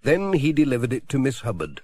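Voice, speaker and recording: A man's voice: medium pitch, sing-song, brisk, darkish tone. A middle-aged man reading a children's storybook aloud as narrator. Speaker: audiobook narrator. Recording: dry close audiobook recording.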